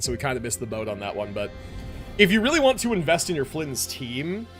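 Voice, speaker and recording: Animated, chatty male livestreamer. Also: fairly high pitch. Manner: chatty, informal, repeats phrases for emphasis. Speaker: live streamer host. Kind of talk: casual livestream chat with viewers.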